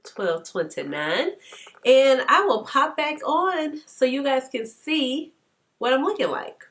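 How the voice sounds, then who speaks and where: A woman's voice: sits high, very animated, slow pace, a bit bright. A congested woman with a stuffy nose, chatting casually through a makeup tutorial and laughing often. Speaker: beauty vlogger.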